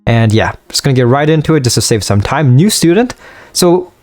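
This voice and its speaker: A man hosting a casual let's-play, rambling with many fillers, his voice a bit higher at night. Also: expressive, a little fast, a little mellow. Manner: rambling, filler-heavy, easygoing. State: voice higher than usual at night. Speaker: gaming channel host.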